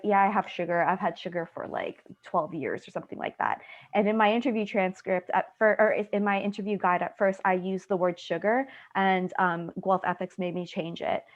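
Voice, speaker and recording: A young woman from Canada, a student researcher, answering a question in a chatty way full of "like" fillers over a video call. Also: medium pitch. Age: young adult. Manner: chatty, many "like" fillers, quotes people. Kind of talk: casual explanatory answer. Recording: muffled video call audio.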